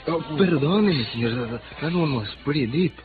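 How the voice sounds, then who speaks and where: An actor voicing a solicitous Venezuelan house servant with a Spanish accent, polite and a little hesitant. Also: male voice, fairly high pitch, animated, moderate pace, natural voice. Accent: Spanish-accented English. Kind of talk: 1950s radio acting. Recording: muffled vintage radio broadcast, music behind.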